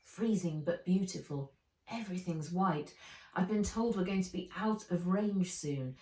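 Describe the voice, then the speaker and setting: A woman's voice: fairly low pitch, measured pace, roomy sound, distant mic, lightly acted, poor audio. Woman playing a frank, prickly young girl. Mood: wistful, matter-of-fact. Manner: sharp, frank. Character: plain-spoken, prickly young heiress girl.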